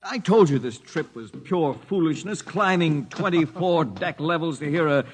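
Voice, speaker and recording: A man's voice: a little high, lively intonation, moderate pace, close to an everyday voice. An actor playing a skeptical young male friend who complains in a 1950s radio drama. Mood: grumbling.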